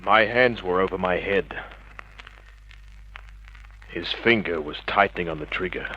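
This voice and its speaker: An actor playing a tough, wisecracking private detective, sardonic and hard-boiled, in an old radio drama. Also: male voice, deep voice, a little slow, slight background noise. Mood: wry narration. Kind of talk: mid-century radio drama acting with noir narration. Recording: muffled vintage radio drama recording.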